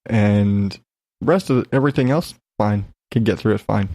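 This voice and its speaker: A man's voice: on the low side, moderate pace, warm tone, no room sound, clean studio sound. A man hosting a solo podcast, chatting in a rambling, frank, sweary way, slightly congested as he gets over an illness. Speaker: podcast host. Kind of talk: casual solo chat, rambling personal update.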